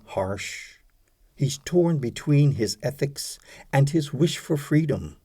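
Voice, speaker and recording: A man reading poetry for an audiobook in an expressive, deliberate way, setting phrases apart with pauses. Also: medium pitch, slightly rough. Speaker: audiobook narrator, poetry reader.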